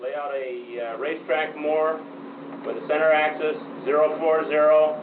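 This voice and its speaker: A man with a fairly high voice gives clipped naval calls and orders as a ship's captain. He sounds set back from the microphone in an echoing space. The old film sound is thin and noisy. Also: level tone, normal speed, far from the mic, phone-quality sound. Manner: clipped, procedural.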